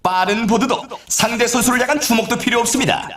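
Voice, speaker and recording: An actor playing an excitable, theatrical male announcer at an extreme snowboarding event in a video game, delivering scripted hype with showy exclamations. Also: very high voice, quick pace, echoey room. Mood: hyped, urging.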